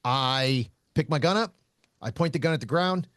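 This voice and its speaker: A man who hosts a podcast and teaches shooting, talking casually in a loud voice, blunt, laughing often and swearing freely. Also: fairly high pitch, normal speed, no room sound, studio quality. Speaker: shooting instructor and podcast host.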